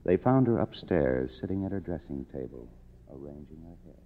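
Very deep brooding American radio narrator. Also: male voice, animated, a little hiss or hum. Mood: wry, somber. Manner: brooding, measured, wry. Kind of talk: 1950s radio drama narration. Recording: muffled vintage radio recording, music underneath.

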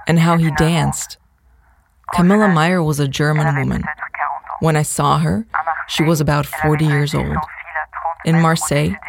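A man playing an introspective writer in an audio play, delivering a measured, poetic monologue. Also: fairly high pitch, gravelly, noisy background. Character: introspective male writer recalling circus wire walkers.

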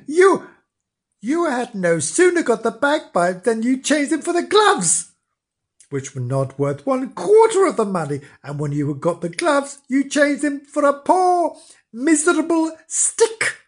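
A storybook reader voicing a parrot that shrilly scolds and jeers at a foolish man, pitched far above the reader's own voice.

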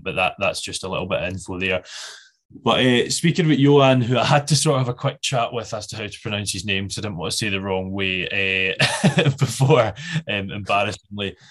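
A man's voice: on the low side, fairly bright tone, breathy edge. A man in his twenties hosting a podcast, chatting casually and rambling a bit. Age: 20s. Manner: rambling, many fillers and restarts. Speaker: podcast host.

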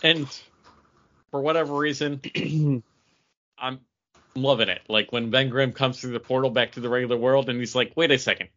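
Bright-voiced, enthusiastic man podcasting. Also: mid-range voice, steady tone, normal speed, pauses often, muffled recording. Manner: enthusiastic, rambling. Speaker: podcast co-host. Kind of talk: casual comic book review chat.

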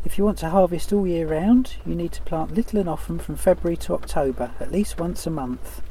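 Creaky man reading an ad. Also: on the high side, brisk, dark tone, a little hiss or hum, low-quality recording. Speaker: sponsor message reader. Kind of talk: scripted advertising read.

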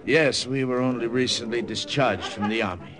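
An actor playing a tense American undercover agent in a radio drama, speaking in a clipped, matter-of-fact way. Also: male voice, mid-range voice, normal speed, crowd noise, natural voice. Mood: guarded. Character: tense American undercover agent narrating his wartime mission. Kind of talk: old-time radio drama narration and dialogue.

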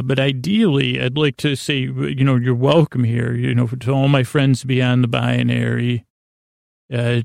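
Guy doing a bedtime podcast, gravelly creaky voice, rambles and repeats himself, very dry close sound. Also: medium pitch, darkish tone, no room sound. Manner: meandering, halting, circles back and repeats phrases. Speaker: host of a bedtime-story sleep podcast. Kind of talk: rambling monologue meant to lull listeners to sleep.

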